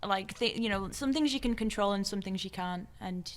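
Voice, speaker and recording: A woman's voice: mid-range voice, moderate pace. A woman from the UK speaking as a podcast guest, chatting casually and a little hesitantly, correcting herself as she goes. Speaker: interview guest, singer-songwriter. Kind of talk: casual chat.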